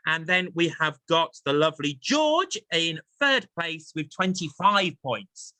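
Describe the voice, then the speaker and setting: A man's voice: on the high side, sing-song, a little slow, pushed and bright, no room sound. An English man hosting a chatty theatre interview and quiz, effusive and encouraging in manner. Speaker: host of a theatre chat show.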